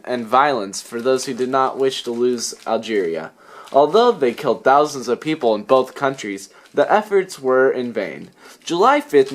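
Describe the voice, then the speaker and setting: A young man reading a scripted history narration for a student project. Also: medium pitch. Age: young adult.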